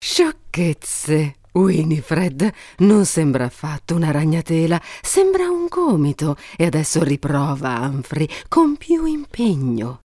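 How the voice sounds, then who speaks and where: A man voicing a fussy, brisk monster mother in a ghost story, with an emphatic, scolding delivery. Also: on the high side, sing-song, mellow, no room sound, studio quality, voice pushed up, exaggerated voice. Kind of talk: audiobook character voice.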